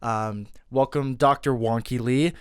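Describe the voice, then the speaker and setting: A man hosts a casual podcast interview with eager energy, with many "um"s and "uh"s between his questions. His voice is smooth and mid-pitched. The sound is dry and close. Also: steady tone, measured pace, no echo. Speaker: podcast host.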